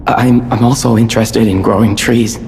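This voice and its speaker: A man acting a halting, urbane game merchant. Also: fairly low pitch, steady tone, darkish tone, natural voice. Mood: hesitant, earnest. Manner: stammering, halting. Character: urbane, hesitant male merchant, former farmer. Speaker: video game character. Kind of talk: scripted game cutscene dialogue. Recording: long reverb, background noise.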